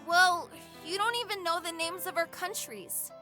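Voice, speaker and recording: A woman's voice: very high-pitched, normal speed, over music. A woman playing a kind, earnest kid, wise beyond their years, in an audio drama. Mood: thoughtful, earnest. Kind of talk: scripted audio drama acting.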